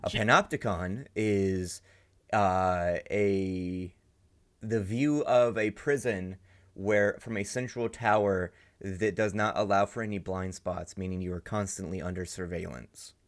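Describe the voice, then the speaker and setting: A man's voice: sits low, a little slow. A young man hosting a reaction video, commenting casually and rambling as he thinks aloud. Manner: rambling, many fillers and restarts, thinking aloud. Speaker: reaction channel host.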